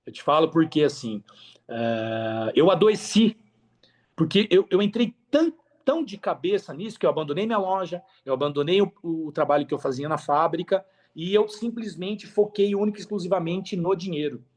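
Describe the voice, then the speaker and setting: A man's voice: on the high side, animated, normal speed, a little mellow. A Brazilian man speaking as a podcast guest, telling his story candidly and conversationally, with slang and frequent tag questions. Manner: talkative, peppers speech with tag questions and slang.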